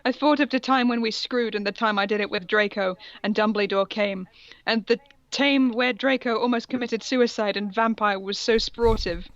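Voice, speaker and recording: A woman's voice: a little high, steady tone, normal speed, no room sound, lo-fi sound. A woman co-host reading fan fiction aloud in a comic dramatic style, mock-serious and amused, with casual sweary asides.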